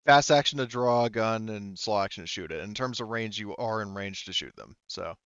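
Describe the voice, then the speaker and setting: Smooth-voiced male game master, close and dry. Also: lowish voice, fairly quick, fairly bright tone, no echo, dull audio. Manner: informal, sweary. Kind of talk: casual online tabletop game narration and rules talk.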